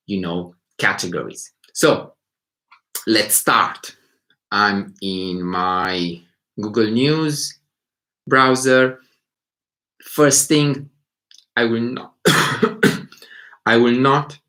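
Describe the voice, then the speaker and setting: A man's voice: on the low side, a bit bright. A man from Romania, hosting a casual live stream as a psychology teacher and thinking aloud as he talks. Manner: unhurried, thinking aloud, rephrasing as he goes. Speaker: psychology teacher and channel host. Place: indoors in Beijing at night.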